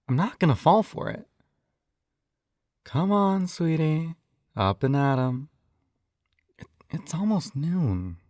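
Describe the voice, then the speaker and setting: Slow, coaxing man in a dry close recording. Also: medium pitch, a little mellow, no room sound. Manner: coaxing, doting, slightly condescending, often sighing. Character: possessive, coaxing male yandere captor and hypnotist. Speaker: audio roleplay performer. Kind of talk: intimate scripted roleplay acting.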